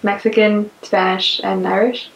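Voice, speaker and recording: A teenage girl, a high school freshman, speaking hesitantly in a documentary interview. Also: level tone, measured pace. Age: teens. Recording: distant, roomy, dull lo-fi sound.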